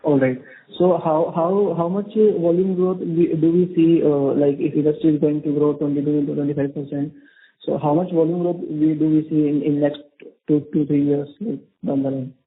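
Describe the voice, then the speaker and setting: A man, an analyst asking questions on an earnings call, halting and repeating his words. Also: a little high, little pitch movement. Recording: phone line.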